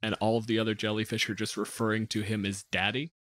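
A man's voice: lowish voice, level tone, fast, a bit bright, dry recording. A man chatting casually as a podcast panelist, analytical and opinionated in the way he talks. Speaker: podcast panelist discussing tabletop game monsters. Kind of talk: casual chat.

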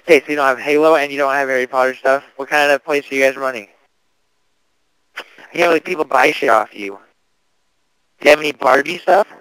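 A young man speaks casually on a prank call. His voice is clear and dryly recorded, with little room sound. He plays the earnest customer, slangy and full of questions. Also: medium pitch, a little fast, long pauses, fairly bright tone, dry recording, poor audio. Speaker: prank caller.

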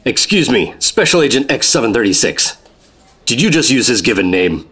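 An actor playing a stern, deadpan government special agent who leads a team in a fifties-style radio drama. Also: male voice, medium pitch, normal speed, a little hiss or hum. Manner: deadpan, commanding. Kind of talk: 1950s-style radio drama narration and acting.

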